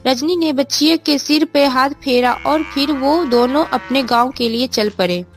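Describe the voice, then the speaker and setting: A woman's voice: high voice, unhurried, soft background music. A woman narrator reading a scripted bedtime story for children.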